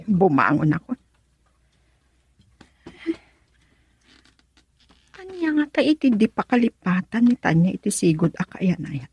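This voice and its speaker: An actress playing a lively woman friend in an Ilocano radio love drama, with an Ilocano accent and an expressive, husky delivery. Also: on the low side, no room sound, heavily acted. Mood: pensive. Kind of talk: Ilocano radio drama acting with narrated inner thoughts.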